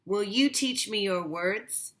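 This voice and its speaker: A curious seven-year-old storybook girl, as acted by a woman reading aloud. The voice is clear-cut and bright, lifting above plain narration. The questions come gently and earnestly. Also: mid-range voice, slow. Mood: hopeful, eager.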